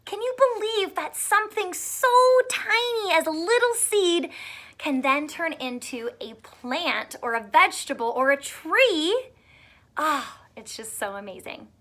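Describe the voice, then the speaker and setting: A woman's voice: high voice, animated, a little slow, pushed and bright, no echo. A woman teacher giving children an upbeat read-aloud and gardening demonstration in simple terms.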